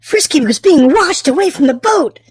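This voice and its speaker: High-pitched woman, children's storybook narrator, theatrical emphasis, swooping intonation. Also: expressive, normal speed, a little mellow. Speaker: children's storybook reader and channel host. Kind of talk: expressive read-aloud narration for young children.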